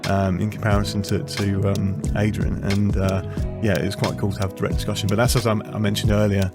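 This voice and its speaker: A man's voice: lowish voice, flat delivery, fairly quick, loud music behind the voice. A man, a guest engineer, talking casually in a rambling, self-correcting way with frequent restarts and easy laughs. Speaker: guest, former F1 designer. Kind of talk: casual interview chat.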